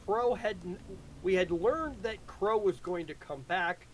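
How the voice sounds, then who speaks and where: Male writer, fairly high voice, loose casual recap with halting repeats, some noise behind. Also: poor audio. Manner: halting, repeats words while explaining. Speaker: writer of an audio drama. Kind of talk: casual rambling story recap on a livestream.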